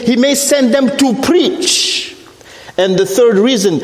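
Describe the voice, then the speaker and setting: Middle-aged man, French accent, guest preacher; slow, deliberate delivery with repeated points, fairly high voice, big reverberant church hall. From Africa. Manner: deliberate, repeats phrases, rises in pitch when preaching. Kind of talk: sermon. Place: church sanctuary.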